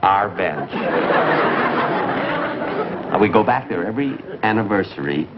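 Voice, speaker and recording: An actor playing a timid, naively vain piano tuner with a hesitant, stammering delivery in 1950s television comedy style. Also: male voice, deep voice, lively intonation, a little slow, over a noisy crowd. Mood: bashful, chatty. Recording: dull, noisy 1950s television soundtrack.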